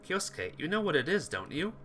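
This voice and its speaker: An anguished teenage schoolboy played by a man pleads like a visual novel hero. His raised, animated voice is bright and urgent, with impassioned stress on his words. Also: on the high side, brisk, a little hiss or hum. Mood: anguished, angry. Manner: impassioned, emphatic.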